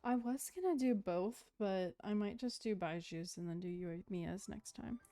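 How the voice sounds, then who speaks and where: A woman's voice: mid-range voice, moderate pace, warm tone. A woman livestreamer giving casual, playful commentary over a video game. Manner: playful asides to viewers.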